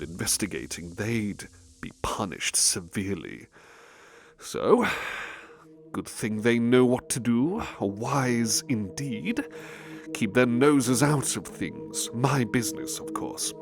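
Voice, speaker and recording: A man playing a refined, sadistic serial-killer nobleman in a horror audio drama, gloating theatrically and savouring his words with breathy drops. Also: mid-range voice. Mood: quiet menace, then smug storytelling. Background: music under the voice.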